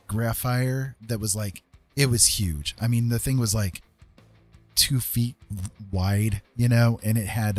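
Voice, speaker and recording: A man with a fairly low voice talks casually through an art livestream. He rambles and hesitates, working things out aloud as he goes. Faint music plays behind him. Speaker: streamer giving art tutorials. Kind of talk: casual livestream chat while painting.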